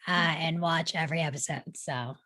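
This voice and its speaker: Low voiced lady, slow casual chat, really dry sound with no room. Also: fairly even intonation, bright, forceful tone, dry recording.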